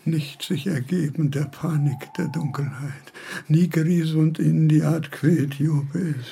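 A frail old philosopher in a radio play, confused and easily frightened. His voice sits a little high, dark and gravelly, and he speaks very slowly. He rambles and halts, repeating words as he goes. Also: steady tone. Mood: fearful. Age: older.

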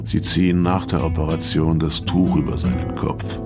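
A man reciting poetic scripted narration in a radio play, deliberately and in a literary style. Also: a bass voice. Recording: loud music behind the voice. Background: plucked guitar music.

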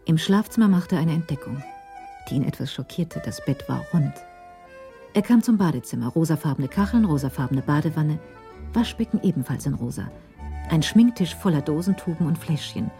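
A woman with a deep, dark-toned voice narrates a radio crime drama. Her delivery is measured and literary, with faint music behind. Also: animated.

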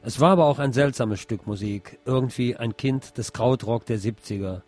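A man's voice: medium pitch, normal speed, darkish tone. A man presenting a scripted radio feature as host. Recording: music behind the voice. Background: music.

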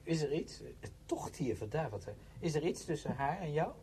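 Smug persuasive musician in a radio play, husky, slightly high voice. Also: male voice, expressive, moderate pace, mellow. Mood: brisk, offhand. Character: smooth-talking, self-assured musician, man, condescending to his friend. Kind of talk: radio drama acting.

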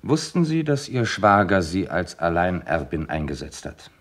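An actor playing a methodical, strict police inspector in a German radio crime drama, formal, polite and probing. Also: male voice, deep voice, very animated, normal speed, exaggerated voice.

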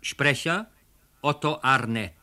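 A man reading credits as a radio announcer in a formal, measured 1950s broadcast style. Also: medium pitch, bright, forceful tone.

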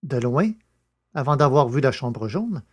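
A man's voice: medium pitch, sing-song, a little slow. A man narrating a novel in a scripted, literary storytelling style. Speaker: audiobook narrator.

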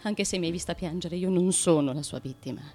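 A woman's voice: lowish voice, quick pace, close to an everyday voice. Woman acting intense tormented tyrant's wife, music behind. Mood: devoted, pleading. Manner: intense, dramatic, with hushed asides and outcries. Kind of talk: theatrical radio drama monologue.

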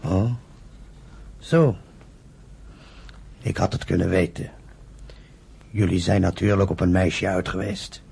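An actor playing a stern, wounded father of grown sons in a radio play, wryly reproachful in a husky, gravelly voice. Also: deep voice, slow pace, pauses often, darkish tone, full character voice.

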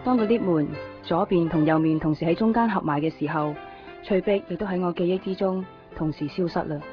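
A woman's voice: fairly low pitch, brisk, natural voice. Woman acting a chatty, teasing young friend. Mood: wistful. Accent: Hong Kong Cantonese. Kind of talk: 1990s Hong Kong radio drama acting. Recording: dull 1990s radio recording, some music.

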